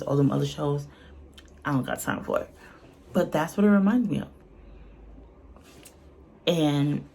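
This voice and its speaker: A woman's voice: sits low, creaky, slight background noise, low-quality recording. A woman giving casual, unscripted reaction commentary in a blunt, colloquial, earnest way. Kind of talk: casual, unscripted opinion talk.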